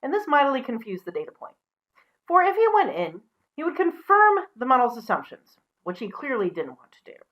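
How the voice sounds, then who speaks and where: A woman presenting as a storyteller, mixing expressive storybook reading with casual asides. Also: sits high, brisk, lo-fi sound.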